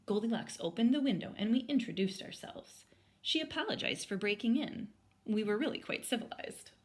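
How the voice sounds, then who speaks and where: A woman's voice: fairly high pitch, lively intonation, brisk. A woman speaking as an elementary teacher, reading aloud and explaining to children step by step. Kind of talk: storybook read-aloud and lesson for children.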